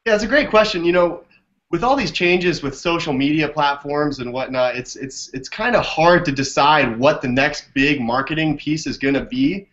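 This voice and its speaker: Higher-voiced man on a muffled video call. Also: normal speed, a bit bright. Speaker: interview guest, business development director. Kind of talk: conversational explanatory interview answers. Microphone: video call microphone. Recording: dull lo-fi video call audio.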